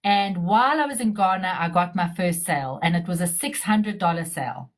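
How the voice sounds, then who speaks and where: A woman, a digital marketer, talking bluntly and openly in a relaxed conversation over a video call. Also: lowish voice, fairly quick. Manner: blunt and outspoken. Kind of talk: casual conversation.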